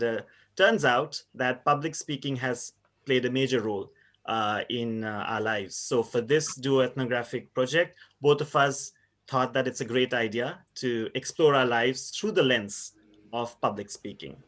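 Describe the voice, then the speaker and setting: Measured Indian man presenting research. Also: medium pitch, fairly bright tone. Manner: measured, explanatory, with frequent fillers. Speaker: university lecturer presenting research. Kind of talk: conference presentation.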